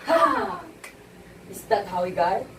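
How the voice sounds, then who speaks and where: Woman to play earnest, naive young-hearted wife, emotional and gushing; high, soft-toned voice in a stage play, off mic. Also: huge pitch swings, a little slow. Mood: indignant, uneasy. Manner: earnest, exclamatory. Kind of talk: amateur one-act stage play acting. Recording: echoey, distant, slightly noisy room recording.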